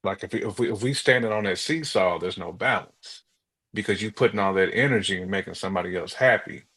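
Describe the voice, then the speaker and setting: An American man with a deep, rather husky voice co-hosts a talk show. He speaks casually, working through his opinions in everyday conversational language. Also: fast talker, pushed and bright. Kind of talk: casual chat giving opinions.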